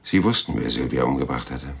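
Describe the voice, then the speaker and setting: Weary private detective, extremely low husky voice, bitter, measured, hard-boiled radio drama. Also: male voice, animated, full character voice. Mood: cool, reproachful. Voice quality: gravelly, husky. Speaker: lead actor and narrator in a radio crime drama.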